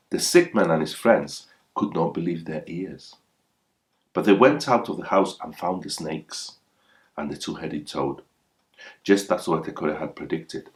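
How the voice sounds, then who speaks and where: A man reading a folktale aloud as a scripted storyteller. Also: very deep voice.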